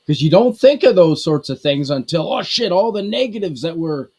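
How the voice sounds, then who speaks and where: A man's voice: a little high, expressive, normal speed, a little mellow, slightly rough. A man from Canada chatting on a podcast in a rambling, hesitant way, with lots of restarts and fillers. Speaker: podcast co-host. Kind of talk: casual group podcast chat.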